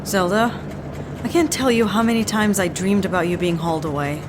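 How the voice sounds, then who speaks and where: An actress playing a blunt, defiant female agent with a sardonic, expressive manner in an audio drama. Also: mid-range voice, fairly quick, noisy background, lightly acted. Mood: wry, incredulous.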